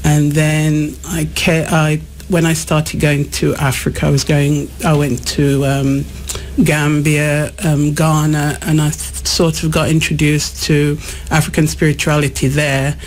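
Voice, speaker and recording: A woman's voice: deep voice, little pitch movement, a little slow, darkish tone, a little hiss or hum, poor audio. A woman, born in Jamaica, talking reflectively as a studio guest on a radio show. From born in Jamaica, raised in England. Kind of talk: conversational interview.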